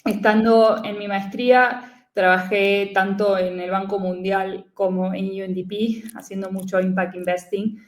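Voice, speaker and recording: Argentine woman talking in a flat tone. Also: mid-range voice, measured pace, hardly any pauses, reverberant, rough recording. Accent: Argentine Spanish. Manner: relaxed, with frequent fillers. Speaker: guest, venture capital investor. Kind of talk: casual interview talk.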